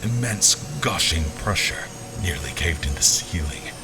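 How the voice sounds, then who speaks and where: A man playing a seasoned general who narrates his sea adventure in a measured, literary, dramatic style for an audio drama. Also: low-pitched, lively intonation. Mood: grave, tense. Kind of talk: dramatic first-person scripted narration. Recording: music under the voice.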